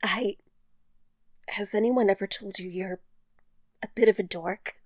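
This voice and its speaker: A woman's voice: mid-range voice, fairly even intonation, a little slow, no room sound, studio quality, lightly acted. A woman playing an emotionally battered, shy young woman in an audio drama, speaking hesitantly and breathily. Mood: tearfully amused. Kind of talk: naturalistic audio drama acting.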